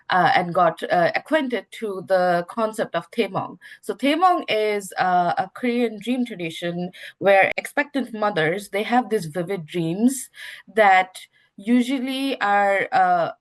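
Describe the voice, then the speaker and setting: A young woman from Bangladesh whose first language is Bangla, answering interview questions earnestly with many pauses and fillers. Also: medium pitch. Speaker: guest, research award winner. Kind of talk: casual interview talk.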